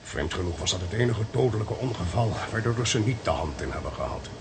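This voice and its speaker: An actor with a Netherlands Dutch accent playing an authoritative intelligence agent explaining things emphatically in a radio play. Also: male voice, lowish voice, expressive, fast talker, background noise. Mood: grave.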